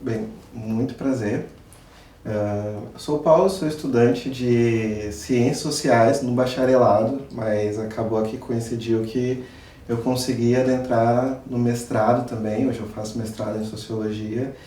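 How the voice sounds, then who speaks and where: A young man from Espírito Santo, Brazil, a master's student, introducing himself as a guest in a measured, somewhat formal way. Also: mid-range voice, fairly even intonation, warm tone. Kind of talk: self-introduction in an interview. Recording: distant, roomy mic with faint noise.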